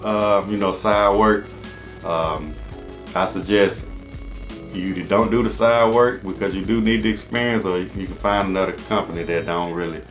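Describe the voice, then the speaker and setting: A man's voice: deep voice, steady tone, normal speed, faint music behind. An American man in his thirties giving casual, rambling advice to camera in an informal, repetitive way. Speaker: HVAC business coach and channel host. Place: small indoor office.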